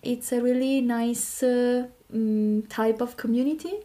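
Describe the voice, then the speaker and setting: A woman with an Italian accent speaks as an interview guest. Her voice is fairly high yet dark and relaxed. She goes slowly, with halting, hesitant phrasing. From Italy. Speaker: podcast guest. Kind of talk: informal interview talk.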